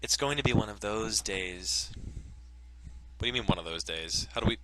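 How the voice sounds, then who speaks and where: A man's voice: on the low side, normal speed, pushed and bright, a little hiss or hum, rough recording. A man hosting a let's play, reading game text aloud and cracking crude jokes between lines. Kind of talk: casual gameplay commentary and reading aloud.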